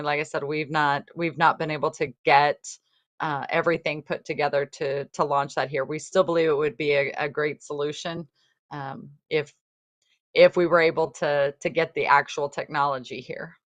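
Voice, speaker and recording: Low-voiced woman answering questions. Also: steady tone, dry recording. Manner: plain, halting answers with frequent ums. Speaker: local housing official testifying. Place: legislative committee room.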